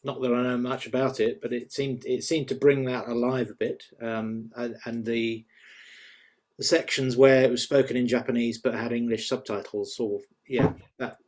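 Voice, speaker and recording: A man's voice: mid-range voice, flat delivery, normal speed, darkish tone. A man giving informal, tentative feedback on a script as a panel reader, hesitating as he goes.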